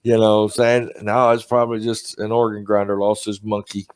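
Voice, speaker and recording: A man's voice: fairly low pitch, little pitch movement, normal speed, clean tone. A middle-aged man hosting a podcast, chatting casually and laughing often as he tells stories. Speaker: podcast host. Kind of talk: casual chat.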